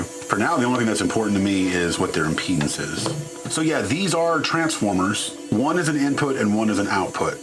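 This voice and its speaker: Deep voiced dude walking thru taking apart old gear, casual n wry, bit crude, music behind. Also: male voice, poor audio. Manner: informal, mildly crude, wry and self-deprecating. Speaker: host of an electronics teardown channel. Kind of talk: casual hands-on tutorial narration.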